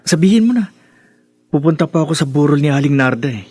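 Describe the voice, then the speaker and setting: A man's voice: a little high, lively intonation, fast, darkish tone, breathy edge, no room sound. An actor playing an earnest, consoling young man in a Filipino radio drama, speaking with emphatic sincerity. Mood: puzzled, casual. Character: earnest, consoling young male friend, urging justice.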